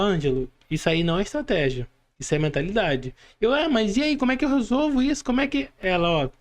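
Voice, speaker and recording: A Brazilian man chatting casually as a podcast co-host, repeating words for emphasis. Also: high-pitched. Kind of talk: casual chat.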